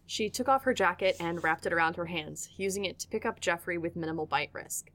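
A woman's voice: lowish voice, fairly quick, a bit bright. A woman reading scripted narration for an audiodrama as its storyteller.

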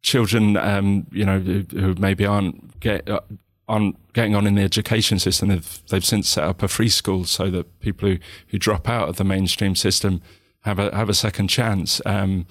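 A man with a southern English accent, a guest in an interview, talking reflectively with many pauses, restarts and "you know"s. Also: low-pitched, little pitch movement, no echo. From England.

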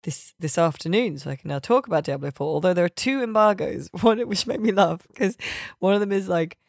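A woman's voice: lowish voice, expressive, fairly quick, creaky, darkish tone, no room sound, clean studio sound. A woman chatting casually and jokily about video games as a podcast co-host. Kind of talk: casual, jokey video game chat.

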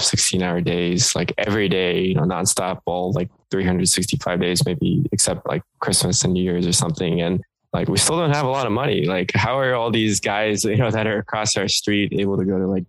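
Deep gravelly man, casual and rambling. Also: no echo, rough recording. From Korea. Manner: rambling, full of fillers and restarts. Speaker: guest entrepreneur. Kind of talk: casual interview talk.

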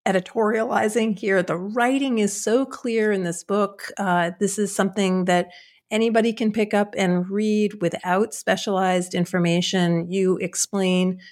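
A woman's voice: medium pitch, fairly even intonation, a little slow, a little mellow. A woman hosting an interview, asking follow-up questions and praising the guest's book with enthusiasm. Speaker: podcast host.

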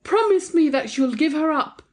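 A woman's voice: high voice, expressive, moderate pace, voice pushed up. A woman voicing a jealous, possessive middle-aged mother in a storybook, with a tragic, demanding, dramatic delivery. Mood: fierce, demanding. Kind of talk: dramatised audiobook reading of old-fashioned fiction.

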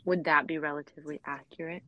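A woman's voice: deep voice, steady tone, fast, bright, forceful tone, no room sound, rough recording. A woman hosting an interview, asking casual, hesitant questions. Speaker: interview host.

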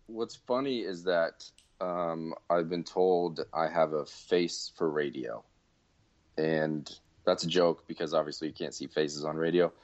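A man's voice: deep voice, a little slow. A man co-hosting a casual sports podcast, talking in a rueful, self-deprecating way. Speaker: podcast co-host. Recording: dull lo-fi sound.

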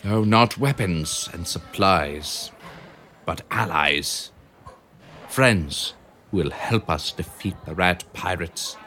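A man voicing a brave male hamster who speaks in an earnest, calm and firm way. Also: deep voice, slow, slight background noise, full character voice. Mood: rallying.

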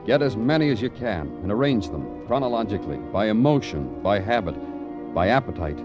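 Low-voiced, brooding radio police detective. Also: male voice, sing-song, fairly quick, highs cut off. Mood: brooding, wry. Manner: brooding, lyrical, clipped delivery. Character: weary, wry, poetic male police detective narrating his case. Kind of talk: old-time radio crime drama acting. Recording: vintage radio recording with music.

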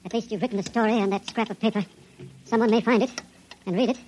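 Quick, high-voiced, determined tiny man. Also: steady tone, darkish tone. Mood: focused. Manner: practical, urgent. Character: resourceful, determined five-inch shrunken man. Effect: sped-up, pitched-up tiny voice. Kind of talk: old-time radio drama acting. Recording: noisy, dull vintage radio recording.

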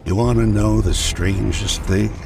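An actor playing a cruel, mocking half-machine mercenary villain in a radio drama, slow and menacing in his taunts. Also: lowish voice, fairly even intonation, gravelly, distant mic, music in the background. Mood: bitter taunting.

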